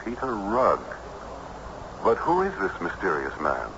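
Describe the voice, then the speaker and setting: A man's voice: deep voice, huge pitch swings, unhurried, bright, forceful tone, lo-fi sound, full character voice. An actor playing a curious man questioning a storyteller in a vintage radio drama. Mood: curious, puzzled. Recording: slightly noisy band-limited old radio recording.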